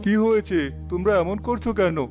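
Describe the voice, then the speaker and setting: An actor playing an earnest, kindly, excitable father of a poor village family, in a cartoon story. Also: sits high, brisk, close to an everyday voice. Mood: excited, amazed. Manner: exclamatory, earnest. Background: faint music.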